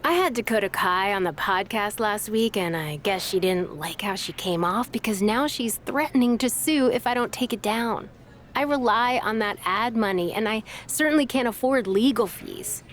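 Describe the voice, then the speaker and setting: A medium-pitched woman podcaster, played by an actress in a video game scene. She sounds worried and pleading, with faint noise behind her. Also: moderate pace, lightly acted. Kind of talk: scripted video game cutscene acting.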